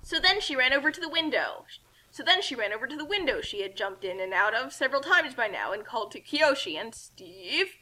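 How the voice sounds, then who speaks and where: A woman reading a story aloud as narrator, in an animated, informal style with casual asides. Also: sits high, fairly quick, low-quality recording. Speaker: narrator reading a fan fiction.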